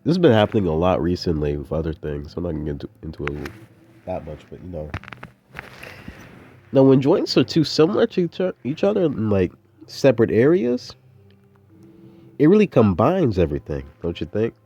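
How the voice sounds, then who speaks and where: A man's voice: lowish voice, sing-song, fairly quick, pauses often, dark tone, slightly rough, no echo. A young adult man rambling casually in a laid-back, slangy, meandering way, like an informal audio diary. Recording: dull, muffled lo-fi audio.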